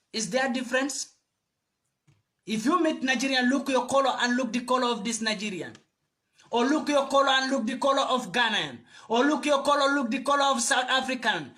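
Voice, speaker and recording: Fiery high-voiced man. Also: bright, forceful tone. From Gambia. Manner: fiery, preachy, repeats phrases for emphasis. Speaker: video commentator. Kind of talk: impassioned address to camera.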